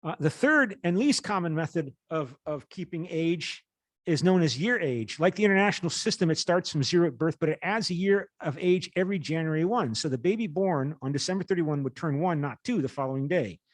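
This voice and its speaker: A man in his late fifties lecturing as a university teacher, reading aloud and explaining in a halting way with rephrasings. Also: a little high, animated, dry recording.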